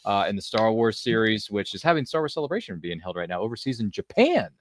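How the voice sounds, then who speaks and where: A man's voice: fairly low pitch, big pitch swings, quick pace, a little hiss or hum. A man hosting an online panel show in a casual, jokey and effusive way, often repeating himself for emphasis. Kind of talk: casual hosting banter and sign-off.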